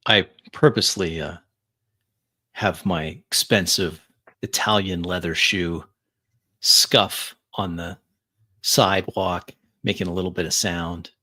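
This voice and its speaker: A man playing a tabletop game, narrating his character's actions in deliberate, dramatic short phrases. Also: sits low, fairly even intonation, pushed and bright. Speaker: player. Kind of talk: first-person roleplay narration.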